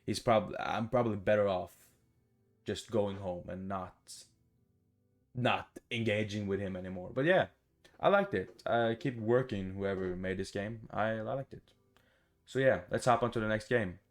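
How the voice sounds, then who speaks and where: A man's voice: lowish voice, normal speed. A man giving casual, rambling let's play commentary, blunt and given to swearing. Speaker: gaming video host. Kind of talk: casual let's play commentary, reading game text aloud.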